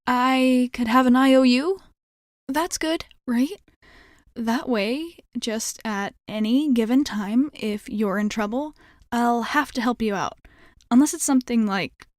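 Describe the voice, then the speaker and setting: A woman's voice: fairly high pitch, no room sound, studio quality, lightly acted. A woman playing a nervous, blunt, sporty schoolgirl team captain in an ASMR roleplay, rambling and backtracking as she pleads with the listener. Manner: rambling, halting, backtracking mid-thought.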